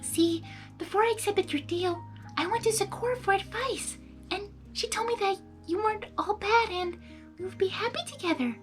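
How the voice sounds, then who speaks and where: Storybook pony bride, female reader: sweet, soft-spoken, gentle, coaxing, high-pitched. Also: normal speed, soft background music. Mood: earnest.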